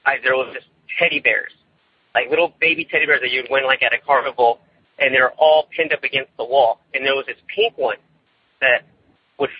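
A young man, calling in by phone and telling a personal story in a casual, rambling, nervous way, often halting and repeating himself. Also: medium pitch, fairly even intonation, breathy edge, no echo. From California, United States. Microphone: phone line. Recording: tinny, boxy phone call.

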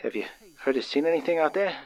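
A man's voice: fairly high pitch, lively intonation, fast talker, low-quality recording. A man playing a lonely, frightened caller in an old-time radio drama, stammering and hesitant as he pleads. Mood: nervous, probing. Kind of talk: 1940s radio drama acting.

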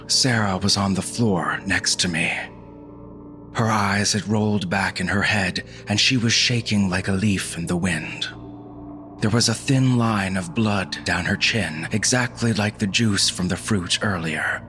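A man playing a haunted husband who narrates a supernatural horror story in a grave, suspenseful, deliberate way. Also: low voice, sharp tone, heavily acted. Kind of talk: dramatic scripted narration. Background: music.

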